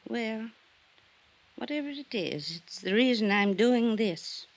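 Slow, creaky-voiced aging society widow. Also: female voice, on the high side, expressive. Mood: wistful, fearful. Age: older. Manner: theatrical, girlish, wistful. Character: wistful aging society widow, girlish, naive, fading gaiety. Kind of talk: 1950s radio drama acting.

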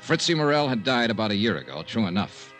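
An actor playing a hard-boiled, dryly wisecracking investigator in an old-time radio mystery. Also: male voice, fairly low pitch, sing-song, quick pace, fairly bright tone, faint music behind. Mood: suspicious. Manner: dry, wisecracking. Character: wry, hard-boiled male investigator narrating his case. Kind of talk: 1950s radio detective acting and narration.

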